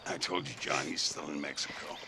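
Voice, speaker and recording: An actor playing a proud, righteous old gunslinger in a western video game, with a gravelly, theatrical way of speaking. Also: male voice, rumbling low, fairly even intonation, fairly quick, background noise, heavily acted. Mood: businesslike. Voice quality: gravelly, husky.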